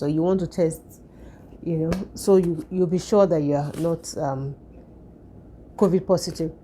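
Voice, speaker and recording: A woman vlogger with a croaky, hoarse voice, recovering from illness, chatting at home in a relaxed way with frequent fillers and restarts. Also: on the low side, a little hiss or hum. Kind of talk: casual vlog chat.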